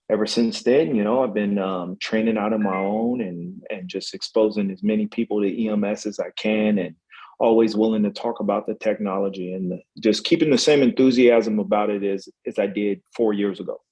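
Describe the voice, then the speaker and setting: Man, fitness trainer, husky voice, fairly low, monotone, halting with fillers. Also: poor audio. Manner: hesitant, many fillers and restarts. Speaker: EMS trainer. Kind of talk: casual webinar talk.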